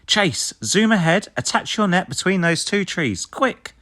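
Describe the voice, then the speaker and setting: A man's voice: high voice, sing-song, measured pace, sharp tone, pitched above the performer's own voice, heavily acted. A man voicing an upbeat, commanding young boy who leads rescue pups in a children's storybook, rallying and encouraging. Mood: urgent.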